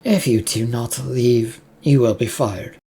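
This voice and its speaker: A reader acting out an imperious woman executive in a story, evasive and threatening. The voice is mellow and a little husky, with formal, curt commands. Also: mid-range voice, takes it slowly, full character voice. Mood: cold, defensive. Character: imperious, angry female CEO, evasive and threatening.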